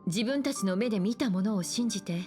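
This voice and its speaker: An actress playing a composed, caring woman navigator in an anime-style game, guiding gently. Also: medium pitch, racing, soft background music, close to an everyday voice. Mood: gently encouraging. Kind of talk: Japanese anime game voice acting.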